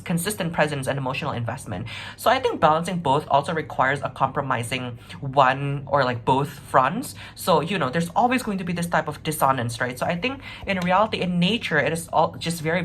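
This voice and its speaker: A woman with a low voice delivers a competitive debate speech. She argues earnestly in a conversational, half-improvised way, tagging points with little checks for agreement. There is some background noise on the recording. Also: fairly bright tone. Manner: earnest, conversational, frequent 'right?' and 'like' tags. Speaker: competitive debater, first affirmative speaker.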